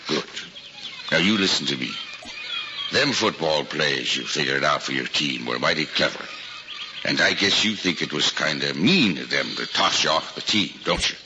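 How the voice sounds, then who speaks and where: Deep-voiced, folksy American cabbie. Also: male voice, lively intonation, normal speed. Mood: gentle, fatherly. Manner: folksy, colloquial working-class grammar. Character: kindly, folksy working-class male cab driver, fatherly. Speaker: actor. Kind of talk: mid-century American screen drama acting. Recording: muffled, noisy old archival film soundtrack.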